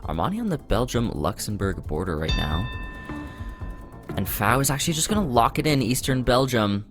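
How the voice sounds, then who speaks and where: A man giving live play-by-play commentary on a competitive game, voice jumping up with excitement at big moments. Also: mid-range voice, moderate pace. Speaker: esports commentator. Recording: music under the voice.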